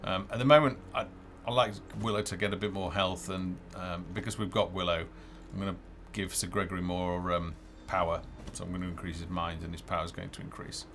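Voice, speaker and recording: A man's voice: sits low, steady tone, fairly quick, hardly any pauses, fairly bright tone, slight background noise. A middle-aged man giving casual live commentary on a game he develops, hesitant and thinking aloud as he plays, on a headset. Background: game music.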